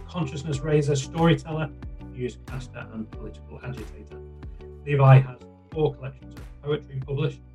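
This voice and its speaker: Upbeat male podcast host. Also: medium pitch. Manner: upbeat, promotional. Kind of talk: scripted introduction and interviewing.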